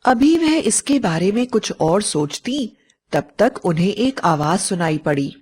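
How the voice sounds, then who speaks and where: Female storyteller, scripted tale narration, medium pitch, slow pace. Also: very animated, darkish tone, slightly rough.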